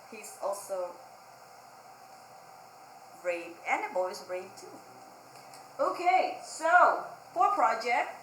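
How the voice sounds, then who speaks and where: Female children's storytime host, unhurried simple instructions with repetition; echoey room with faint noise. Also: fairly high pitch, fairly bright tone. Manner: simple explanations with repeated phrases, addressing children directly. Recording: roomy echo, faint background noise.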